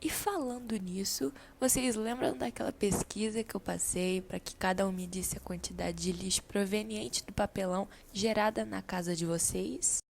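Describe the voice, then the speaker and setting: A woman's voice: medium pitch, fairly even intonation, normal speed, creaky, hardly any pauses, slight background noise, close to an everyday voice. A woman playing a kindly Brazilian schoolteacher in a scripted amateur radio drama, didactic and encouraging. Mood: instructive. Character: female schoolteacher, kindly, guiding a classroom discussion.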